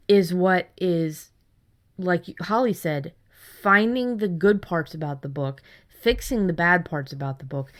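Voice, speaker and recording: A woman co-hosting a casual podcast about writing, talking informally and haltingly with some swearing. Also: fairly low pitch. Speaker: podcast co-host discussing writing. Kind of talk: casual chat.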